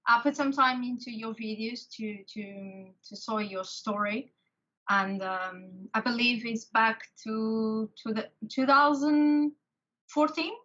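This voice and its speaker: Bright halting woman with Portuguese accent. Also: fairly high pitch. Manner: halting, many restarts and fillers. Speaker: interviewer. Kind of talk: video call interview. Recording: lo-fi call audio.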